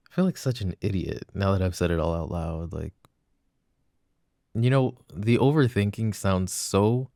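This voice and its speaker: A man's voice: low voice, dark tone, no echo, clean studio sound. A young man playing an apologetic, adoring jealous boyfriend in an intimate roleplay, speaking in a casual, hesitant murmur. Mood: sheepish, earnest. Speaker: voice actor.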